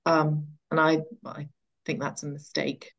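A woman giving an expert interview in a conversational style, explaining her points in a measured, deliberate way. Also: deep voice, level tone, creaky, highs cut off. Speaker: interview guest, education author and coach.

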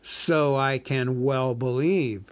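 A man voicing a huge, cunning male dragon who talks in a slow, sneering, drawn-out way. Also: medium pitch, dry recording, close to an everyday voice.

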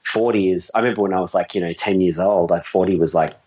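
A man's voice: low-pitched, steady tone, fast talker, darkish tone. A man in his forties, a wedding photographer, talking casually in a rambling, self-deprecating way and laughing easily. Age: 40s. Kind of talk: casual conversation.

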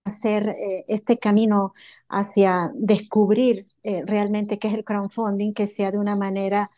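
A woman's voice: mid-range voice, little pitch movement, measured pace, mellow. A woman from Bolivia answering interview questions in an earnest way, with many filler sounds. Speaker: entrepreneur guest.

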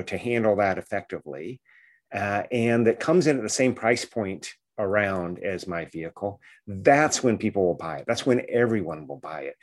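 A man co-hosting a podcast, talking casually and enthusiastically with many fillers and restarts. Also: fairly low pitch. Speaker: podcast co-host.

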